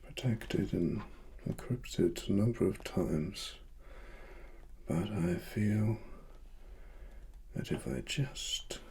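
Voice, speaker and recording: A British man performing a softly spoken ASMR roleplay as a grave cyberpunk investigator, breathy and partly whispered, with deliberate pauses. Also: lowish voice. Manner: slow, deliberate, hushed, with long pauses. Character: grave cyberpunk investigator uncovering corporate wrongdoing.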